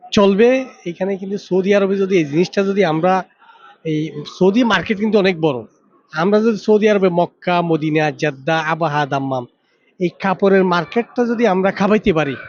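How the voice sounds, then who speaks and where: Male interviewee, high register, informal repetitive phrasing, lo-fi sound. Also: darkish tone, no echo. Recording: dull, lo-fi audio.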